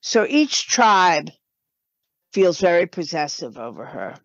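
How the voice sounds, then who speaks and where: Female podcast guest, very slow and halting delivery, dull lo-fi sound. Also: on the low side, sing-song, no echo. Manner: halting, with broken-off sentences. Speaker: guest, writer and audio drama producer. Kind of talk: podcast interview, explaining her work.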